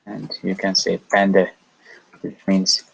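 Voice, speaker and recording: A man teaching a language lesson, explaining step by step in a hesitant, halting way with many pauses. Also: low-pitched, completely flat delivery, gravelly, husky. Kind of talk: slow explanatory lecture. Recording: dull lo-fi recording.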